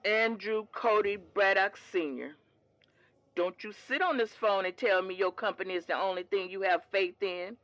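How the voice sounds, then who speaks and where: A woman's voice: mid-range voice, normal speed, a bit bright, no echo, dull audio, lightly acted. A woman playing a devout older mother in an audio drama, patient and reassuring, firm but tender, with a preachy streak. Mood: stern.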